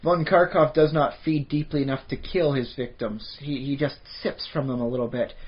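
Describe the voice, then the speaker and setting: A man's voice: medium pitch, fairly even intonation, normal speed. Rambling man on lo-fi podcast audio. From Canada. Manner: rambling, many fillers and restarts. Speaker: podcast co-host. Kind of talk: casual chat about tabletop games.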